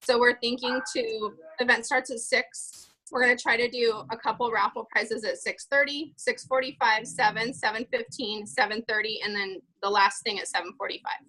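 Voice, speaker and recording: A woman's voice: a little high, steady tone, normal speed, hardly any pauses, bright, forceful tone, a little hiss or hum, poor audio. A woman leading a casual planning meeting, chatty and full of fillers as she runs through lists of items. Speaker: event organizer leading a meeting.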